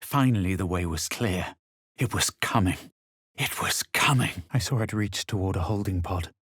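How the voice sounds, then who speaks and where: An actor playing a brooding male fantasy adventurer, narrating his visions for a video game in a measured, solemn way with a low, husky voice. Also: a bit bright, no echo, studio quality. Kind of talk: dramatic first-person game narration.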